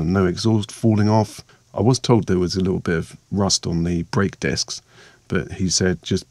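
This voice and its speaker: A man with a hoarse, croaky voice from a sore throat, narrating his vlog in a chatty, rambling, self-mocking way. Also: sits low, a little mellow, dry recording. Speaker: vlogger and meditation maker.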